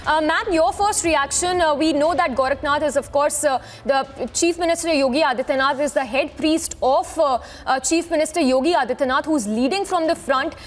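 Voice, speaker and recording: High-pitched Indian woman anchoring breaking news. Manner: halting, repetitive ad-libbed delivery. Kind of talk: live breaking news reporting.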